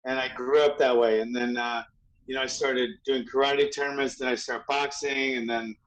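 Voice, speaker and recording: A man's voice: medium pitch, little pitch movement, fairly bright tone, rough recording. Rambling man in his sixties telling stories. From Hawaii. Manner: rambling, halting with many restarts and fillers. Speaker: guest martial arts trainer. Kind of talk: casual storytelling.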